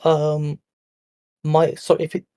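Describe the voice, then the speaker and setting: A man's voice: a little high, completely flat delivery, slow pace, warm tone, smooth, no echo, clean studio sound. A young man, a panel guest in a live online debate, talking hesitantly with many fillers and restarts, tired late at night. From Birmingham, England.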